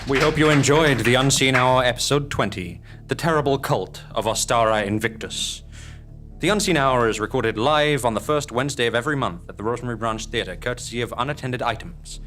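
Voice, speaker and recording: A man hosts and narrates from a script in a measured, theatrical way. His voice sits at a middle pitch, with music playing clearly behind him. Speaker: narrator and host. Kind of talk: scripted narration and show credits.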